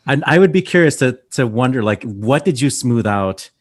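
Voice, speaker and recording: A man's voice: medium pitch, expressive, normal speed. A man co-hosting a podcast, asking enthusiastic, rambling questions in casual interview chat. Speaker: podcast co-host.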